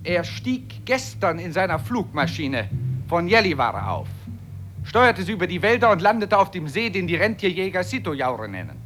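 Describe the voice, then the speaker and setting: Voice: mid-range voice, sing-song, normal speed, fairly bright tone. An actor voicing an eagle, a keen messenger of the wilderness, declaiming solemnly in a 1950s German radio play. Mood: reporting. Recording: old radio drama with music underneath.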